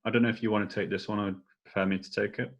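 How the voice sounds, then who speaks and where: A man moderating a panel, putting questions to the speakers in a conversational way, on dull low-fidelity audio. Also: flat delivery, very fast. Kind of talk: reading out discussion questions.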